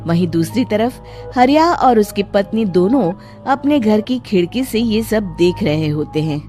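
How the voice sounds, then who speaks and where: A woman narrating a Hindi cartoon moral story in an expressive storytelling style. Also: mid-range voice, a little slow, soft background music.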